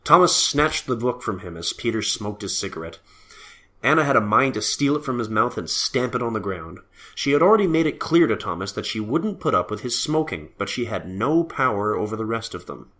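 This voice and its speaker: A man narrates a story from a script. His voice sits fairly low, and he reads prose descriptions in a storybook style. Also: very animated, a little fast, fairly bright tone.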